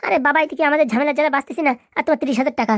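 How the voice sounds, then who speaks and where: Woman as brash, fast-talking grown son. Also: high-pitched, no room sound, studio quality, natural voice. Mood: impatient. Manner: impatient, dismissive. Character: brash, harried grown son dodging his parents. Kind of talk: Bengali comedy cartoon dialogue.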